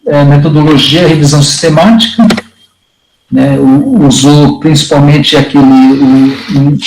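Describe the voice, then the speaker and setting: A man's voice: mid-range voice, gravelly, darkish tone, echoey room, slight background noise, rough recording. A man in a casual study-group discussion on a video call, talking hesitantly with many restarts and fillers. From Brazil.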